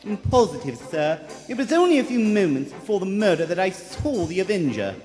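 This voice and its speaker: Eager, respectful courtroom witness played by an actor, lively radio play delivery, reverberant hall. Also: mid-range voice, brisk, close to an everyday voice. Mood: earnest testimony. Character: earnest, dramatic courtroom eyewitness, deferential. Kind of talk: live radio play acting. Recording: live theater hall, faint music.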